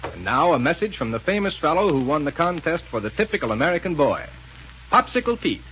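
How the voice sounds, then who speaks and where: A man's voice: on the high side, sing-song, fairly quick, a bit bright, dull audio. Male 1930s radio announcer, noisy recording. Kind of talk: 1930s radio announcing, scripted narration and sponsor pitch.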